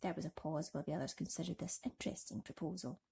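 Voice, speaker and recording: A woman storyteller reading a children's bedtime story dramatically and expressively, with emphatic pauses and swells. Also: low-pitched, steady tone, vocal fry, dark tone, slightly rough.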